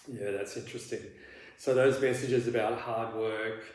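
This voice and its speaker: A man acting as a therapist in a counselling role play, asking questions gently and haltingly, full of restarts and "you know" fillers. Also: mid-range voice, steady tone. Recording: very echoey room, faint background noise.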